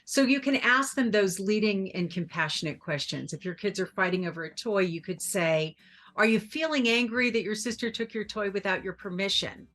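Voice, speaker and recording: A middle-aged woman appearing as a parenting expert on a TV talk show, explaining her advice calmly and clearly. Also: medium pitch, moderate pace. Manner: calm, explanatory, warm advice-giving.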